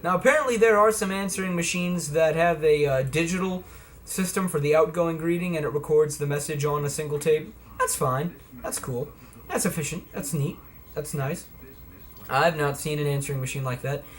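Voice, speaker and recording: Man, slightly high voice, folksy and playful, casual gadget demonstration, faint background noise. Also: normal speed. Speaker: vlogger presenting vintage electronics. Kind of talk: casual product demonstration talk.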